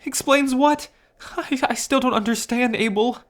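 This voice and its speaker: A man's voice: very high-pitched, moderate pace, pitched above the performer's own voice. A man voicing a bewildered, timid young merman who is turning half-dragon, speaking hesitantly in an ASMR story roleplay. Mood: confused. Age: young adult. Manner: hesitant, stammering.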